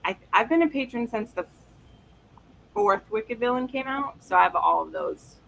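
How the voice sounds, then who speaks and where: A woman chatting casually and excitedly on a livestream, with slangy, sweary, gushing talk. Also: a little high, normal speed, vocal fry, rough recording. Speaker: book livestream co-host. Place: live online video chat. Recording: faint background noise.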